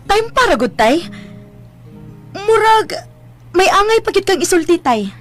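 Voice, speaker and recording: An actress playing a nosy, playful young adult daughter in a radio drama, with a Visayan Philippine accent and a prodding, giggly manner. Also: female voice, extremely high pitch, sing-song, slow, faint music behind, exaggerated voice. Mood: teasing amusement.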